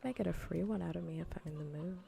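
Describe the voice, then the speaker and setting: A woman livestreamer chatting in a soft, breathy ASMR whisper, casual, teasing and blunt, close to the microphone. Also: lowish voice, rapid-fire. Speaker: livestreamer playing a game. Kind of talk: whispered ASMR chat while gaming.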